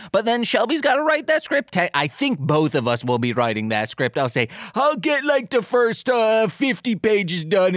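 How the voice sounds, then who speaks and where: Lively, higher-pitched man bantering as podcast host. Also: dry recording, clean studio sound. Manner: jokey, rambling, playful exclamations. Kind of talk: casual banter and plugs.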